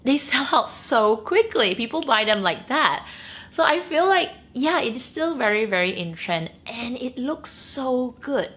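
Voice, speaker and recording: A woman's voice: high-pitched, big pitch swings, unhurried, pushed and bright. A Malaysian woman in her late thirties, with an accent tinged by years in Singapore, answering viewer questions in a bubbly, chatty way. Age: 30s. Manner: bubbly and chatty, with frequent laughs and squeals. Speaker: beauty and luxury vlogger. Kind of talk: casual Q&A chat to camera.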